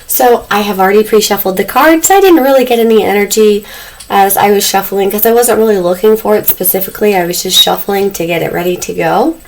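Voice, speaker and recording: A woman doing a casual tarot reading to camera, a little husky as she is losing her voice, talking softly and calmly in a dim room. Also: mid-range voice, a little fast. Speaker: tarot reader. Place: dim room indoors lit by candles. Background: faint noise from loud neighbors upstairs.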